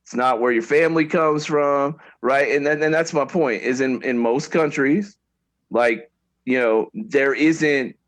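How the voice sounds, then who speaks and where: A man's voice: mid-range voice, a little slow. A middle-aged man co-hosting a casual debate, pressing his point with questions and frequent restarts. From United States. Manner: questioning, restarts sentences often. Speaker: podcast co-host.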